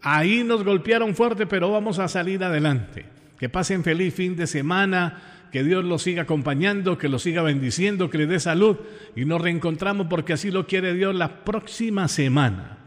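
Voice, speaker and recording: A man's voice: sits high, normal speed, in a large hall. A man from Colombia with a Colombian accent, talking informally as a radio host to listeners in an affectionate, effusive way, repeating phrases for emphasis. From Pereira, Colombia.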